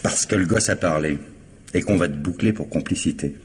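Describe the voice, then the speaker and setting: Male actor as methodical investigator, very low voice, deliberate and blunt, vintage radio crime drama, slightly noisy. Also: natural voice. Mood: firm, threatening. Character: methodical, doubting male police investigator, firm. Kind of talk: French 1950s radio crime drama acting.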